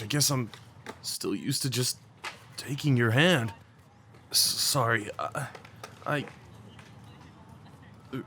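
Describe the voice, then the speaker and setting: A man acting a young small-town guy, an awkward and tender old friend, in a casual, halting, intimate audio roleplay. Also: medium pitch, a bit bright, slight background noise. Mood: flustered, shy. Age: young adult. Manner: halting, stammering, slangy, chuckling.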